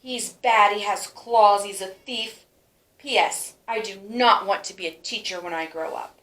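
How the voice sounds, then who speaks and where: A woman plays a dramatic little schoolgirl from a picture book, sulky one day and sorry the next. The voice is bright and emphatic, with words drawn out, in a roomy echo. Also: mid-range voice, a little slow, lightly acted. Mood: angry, defiant. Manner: emphatic, repeats and stretches words. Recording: roomy, echoing sound.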